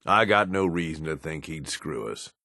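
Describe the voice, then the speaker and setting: A deep, gruff American raider lieutenant for a video game. He is blunt and cynical yet loyal, talking in rough, folksy slang. His delivery moves widely in pitch, and the recording is dry and close. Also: animated, moderate pace, pushed and bright, no echo, full character voice. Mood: matter-of-fact. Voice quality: low, gruff. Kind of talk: video game companion voice lines.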